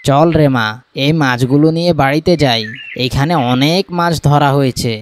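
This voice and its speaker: A man's voice: on the high side, normal speed, a little hiss or hum, lightly acted. A man voicing a humble village farmer, an affectionate father and husband, in a cartoon. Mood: eager.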